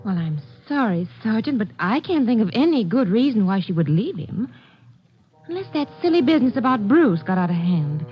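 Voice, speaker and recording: A woman's voice: fairly high pitch, sing-song, moderate pace, slightly rough, soft background music. A lilting, confiding woman. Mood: confiding, doubtful. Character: chatty female family friend, doubtful and confiding. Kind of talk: 1940s-50s radio drama acting. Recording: dull vintage radio recording.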